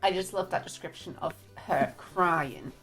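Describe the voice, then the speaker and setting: A woman's voice: on the low side, measured pace, fairly bright tone, soft background music. Chatty northeast English woman reviewing books. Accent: northeast English, mixed with other English accents. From northeast England. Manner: chatty, candid, reads quotes aloud. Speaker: book reviewer. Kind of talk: casual book review.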